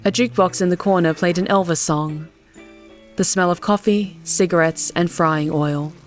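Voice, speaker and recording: Low-voiced woman narrating fiction. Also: fairly even intonation, moderate pace. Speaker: audiobook narrator. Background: faint music.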